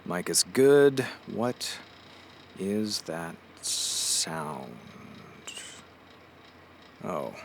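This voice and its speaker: Groggy radio host, lowish voice, sarcastic, halting, audio drama, some noise behind. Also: male voice, very animated, creaky, full character voice. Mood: muttering, weary. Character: groggy, sardonic, self-loathing male radio morning host. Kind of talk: audio drama comedy acting.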